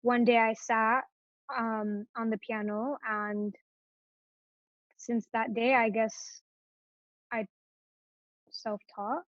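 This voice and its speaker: A woman's voice: a little high, flat delivery, very slow, pauses often, fairly bright tone, dry recording. Hesitant young woman, dry lo-fi sound. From Morocco. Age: young adult. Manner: hesitant, many fillers and restarts. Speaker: interview guest. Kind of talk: casual interview chat.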